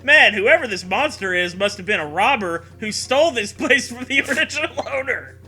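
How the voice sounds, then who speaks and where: A man's voice: sits high, lively intonation, normal speed, bright, forceful tone, husky, soft background music, exaggerated voice. A man voicing an earnest, dim cartoon monster hunter in a comedic fan fiction reading.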